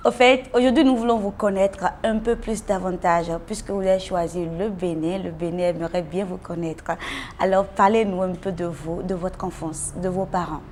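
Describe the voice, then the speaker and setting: A woman's voice: lowish voice, steady tone, moderate pace, a little mellow, slight background noise. A woman hosting an interview show, introducing her guest and asking questions in a formal, welcoming way. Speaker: host.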